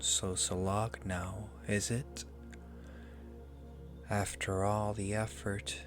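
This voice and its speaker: A man playing a proud ancient dragon in human form for an ASMR roleplay, with a breathy, half-whispered voice and a haughty, teasing, seductive drawl. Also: on the low side. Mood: mocking, probing. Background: music.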